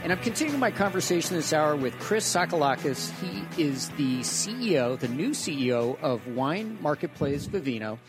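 A man's voice: a little high, sing-song, normal speed, few pauses, music in the background, poor audio. A man hosting a business radio interview, asking conversational questions and reading break announcements. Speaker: radio show host, venture investor.

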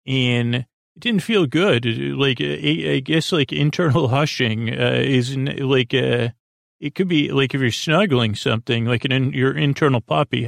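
A man with a creaky voice hosting a bedtime podcast in a gentle, meandering, halting monologue that keeps trailing off into whimsical tangents. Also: medium pitch, no room sound, clean studio sound. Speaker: podcast host. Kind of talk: rambling bedtime monologue to the listener.

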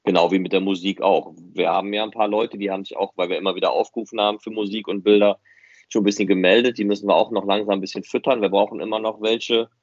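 A man's voice: on the low side, flat delivery, fast talker, dry recording. A man chatting casually and unscripted as host of a fan podcast. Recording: muffled, dry, band-limited audio.

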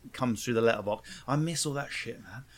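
A man's voice: medium pitch, fairly quick. A man with an Essex accent hosting a podcast, chatting casually with guests and swearing freely. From England. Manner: loud laughing, swearing, playful put-on voices. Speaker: podcast host. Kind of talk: casual interview chat.

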